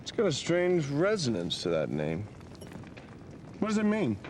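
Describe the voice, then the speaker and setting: An actor playing a wistful, romantic young adventurer in a theatrical, reflective game-drama style. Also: male voice, on the high side, wildly swooping pitch, unhurried, a little mellow, slight background noise. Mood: wry, amused.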